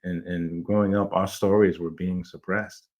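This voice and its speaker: A man's voice: low-pitched, level tone, moderate pace, darkish tone, lo-fi sound. A middle-aged man from Jamaica, raised in New York, telling stories as a guest in a casual interview, enthusiastic and rambling. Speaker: playwright, guest.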